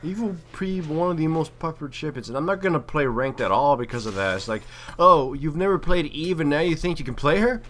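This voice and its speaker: A man's voice: a little high, expressive, brisk, few pauses, a bit bright, slight background noise. A man giving casual, opinionated gaming commentary in a slangy, profane way. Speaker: gameplay commentator and player.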